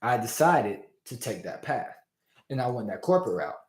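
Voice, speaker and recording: A man's voice: mid-range voice, moderate pace. Male business coach, livestream host, casual hype delivery, slightly strained voice, roomy reverb. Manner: energetic and informal, addresses viewers as "y'all" and "fam". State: strained voice after losing it. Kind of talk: casual motivational livestream talk. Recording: roomy echo.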